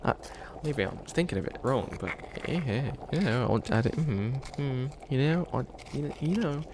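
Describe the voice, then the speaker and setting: A man playing a bumbling, kindly wicked witch in an ASMR roleplay, soft-spoken and hesitant, with a lot of stammering and rambling. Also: medium pitch, expressive, a little slow, background noise. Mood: uneasy, rambling. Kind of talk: ASMR roleplay, improvised comedic monologue.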